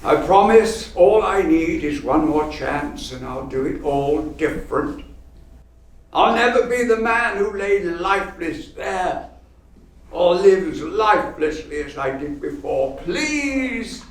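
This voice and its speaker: Old miser, repentant, theatrical, slow delivery, radio-play style, heard from far off. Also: male voice, high-pitched, expressive. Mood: desperate pleading. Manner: theatrical, emphatic. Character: repentant old miser, pleading then giddy with joy. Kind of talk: golden-age radio play acting. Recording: distant, very echoey stage recording.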